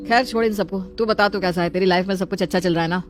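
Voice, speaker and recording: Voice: medium pitch, steady tone, fast talker, soft background music, lightly acted. A performer voicing an arrogant, scornful young husband who talks harshly and haughtily in a storybook drama. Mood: troubled.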